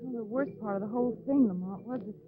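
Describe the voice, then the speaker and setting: An actress playing a spirited radio heroine, dramatic and expressive in 1940s style. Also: female voice, fairly high pitch, fast talker, phone-quality sound, close to an everyday voice. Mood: light, amused. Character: Margot, spirited adult heroine and sleuth's companion. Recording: thin, noisy old radio recording.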